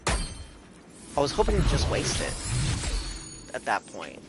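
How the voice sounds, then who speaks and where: A man's voice: medium pitch, wildly swooping pitch, slow. A man giving casual gameplay commentary as a streamer, half talking to himself with exclamations. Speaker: gaming streamer. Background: game music.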